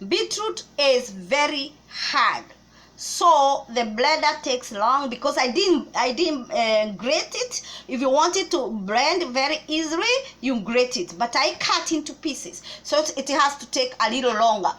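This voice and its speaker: A woman's voice: sits high, lively intonation, a little slow, fairly bright tone. A woman from Kenya with a Kenyan English accent, talking casually as she explains a recipe step by step in her kitchen. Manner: chatty, explains step by step with small restarts. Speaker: cooking vlogger. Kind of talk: casual cooking tutorial.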